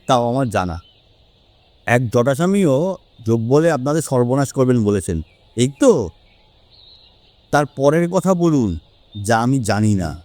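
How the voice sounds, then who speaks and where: Shrewd male investigator for audio drama, actor: self-assured, mellow, brisk questioning. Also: medium pitch, dry recording, lightly acted. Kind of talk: audio story acting.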